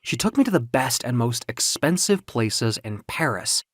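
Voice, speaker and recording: Animated, theatrical male story narrator. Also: medium pitch, moderate pace, no room sound, studio quality. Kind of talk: dramatic first-person scripted story narration.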